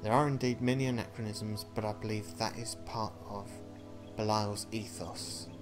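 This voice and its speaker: A man with a fairly low voice does a let's play. He reads the on-screen text aloud and drops in casual remarks as he thinks his choices through. Music plays clearly behind him. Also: steady tone, moderate pace. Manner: thinking aloud between read passages. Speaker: video game player and commentator.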